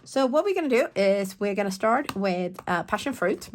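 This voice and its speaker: This is a woman presenting a craft demonstration at a middling pitch. Her voice is a little croaky and congested, as she is getting over a cold and sniffs now and then. She talks casually and playfully, interrupting herself with chatty asides. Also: big pitch swings, moderate pace, background noise. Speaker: craft tutorial host.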